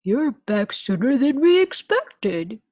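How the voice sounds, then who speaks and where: Brief: a woman voicing a pompous, fussy principal for a storybook, slow and husky. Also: sits high, big pitch swings, a little mellow, no room sound, voice pushed up, heavily acted. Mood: stuffy. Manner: pompous, formal. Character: stuffy fussy male school principal.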